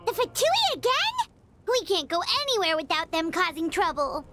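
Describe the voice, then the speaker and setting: A woman voicing a tiny, excitable, childlike fairy companion in a video game, with a squeaky voice. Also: moderate pace, soft background music, exaggerated voice. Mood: annoyed. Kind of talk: cartoonish video game dialogue.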